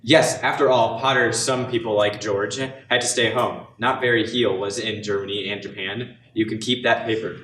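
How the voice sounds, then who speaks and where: A man's voice: fairly low pitch, steady tone, normal speed, hardly any pauses, a bit bright, lightly acted. A man playing a chatty, gloating, absent-minded small-town uncle in an amateur radio play. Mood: taunting. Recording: echoey room with background noise.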